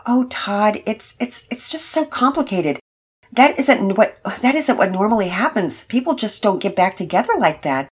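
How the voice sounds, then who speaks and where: A woman playing a soft-spoken, devout mother who speaks gently and a bit hesitantly. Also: medium pitch, expressive, normal speed, slightly rough, voice pushed up. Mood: gentle.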